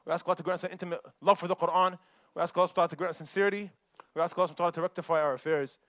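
A young man giving a Friday sermon in a mosque, preaching in an animated way and mixing recited verses with casual asides. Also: high-pitched, very fast, no room sound, clean studio sound. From United States.

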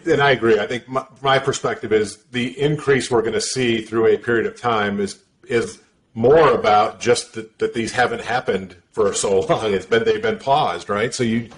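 A man's voice: on the low side, fairly even intonation, normal speed. A man hosting a podcast, with a casual, agreeable manner and short affirmations. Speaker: podcast host. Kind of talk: podcast interview.

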